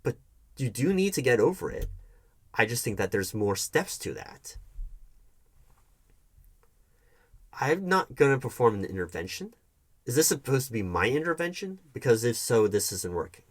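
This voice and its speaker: Guy acting as a fed up hiring manager in an audio roleplay, medium pitched male voice, sarcastic, halting, kinda wounded and defensive. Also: big pitch swings. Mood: guarded, exasperated. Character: hurt, defensive male hiring manager confronting his volatile ex-girlfriend. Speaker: roleplay performer.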